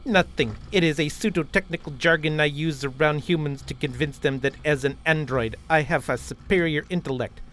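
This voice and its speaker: An actor playing a literal, self-important android officer with a formal, deadpan manner in a parody radio play. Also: mid-range voice, normal speed, few pauses, slight background noise, close to an everyday voice.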